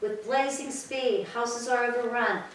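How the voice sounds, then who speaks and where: A woman performs a bereaved captive in a Greek chorus, declaiming solemnly in a slightly high voice. Strong hall echo surrounds her, and the mic is some way off. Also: fairly even intonation, a little slow, lightly acted. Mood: grieving. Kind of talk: staged reading of Greek tragedy. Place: church, live staged reading. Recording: echoey hall, distant mic, background noise.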